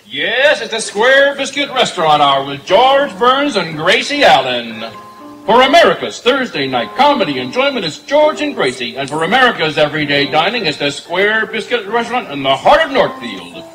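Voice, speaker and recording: A male vintage radio announcer, high in pitch and animated, pitching the sponsor. Music plays behind his delivery. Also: normal speed, rough recording. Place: outdoor night stage.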